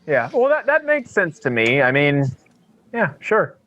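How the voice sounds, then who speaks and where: Guy co-host, highish voice that swings around a lot, agreeable and informal. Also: huge pitch swings, measured pace, a bit bright, muffled recording. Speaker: podcast co-host. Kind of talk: casual reactive chat.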